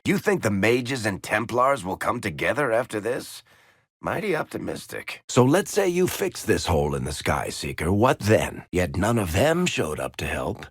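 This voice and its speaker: Wry dwarf smuggler from a video game, low voice, glib n teasing, really lively delivery, dry close sound. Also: male voice, breathy edge, no echo, exaggerated voice. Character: wry dwarf rogue storyteller, shifty charming smuggler type. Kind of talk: video game character dialogue.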